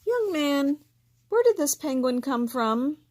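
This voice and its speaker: A woman's voice: high-pitched, very animated, takes it slowly, a little mellow, voice pushed up, exaggerated voice. A woman reading as a distracted, busy dad. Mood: mild. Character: distracted, busy father of a boy.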